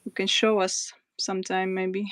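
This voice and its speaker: Slovak-accented woman hosting a livestream; smooth and dry-sounding, steady and unhurried, halting with fillers. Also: medium pitch, no room sound. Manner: hesitant, many fillers and restarts. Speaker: livestream host. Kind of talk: casual livestream chat, reading comments.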